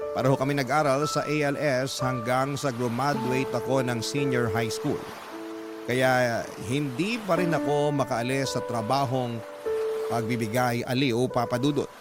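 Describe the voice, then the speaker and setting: A mid-pitched young man played by an actor tells of his hard life in a Filipino accent. He narrates in a sorrowful, confiding way for a radio drama, with heavy music underneath. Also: lightly acted. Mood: subdued sadness. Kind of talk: first-person scripted radio drama narration.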